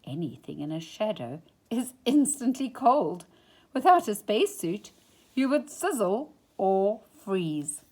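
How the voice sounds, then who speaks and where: A woman reading a children's picture book aloud in an expressive, dramatic storytime style. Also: medium pitch, slow pace. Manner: dramatic, drops into hushed whispers for suspense. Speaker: storytime reader.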